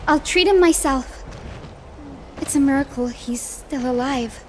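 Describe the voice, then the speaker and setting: A woman playing a composed, compassionate healer in a video game, speaking formally and with measured care. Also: high voice, noisy background. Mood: concerned. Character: composed, compassionate female healer giving orders. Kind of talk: video game character acting.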